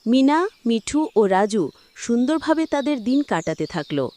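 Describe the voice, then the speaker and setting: High-voiced woman narrating a children's story. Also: sing-song, a little slow, dark tone.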